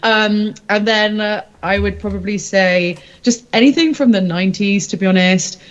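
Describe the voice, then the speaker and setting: A woman's voice: mid-range voice, normal speed, lo-fi sound. A woman singer-songwriter chatting casually in an interview, candid and hesitant as she thinks aloud.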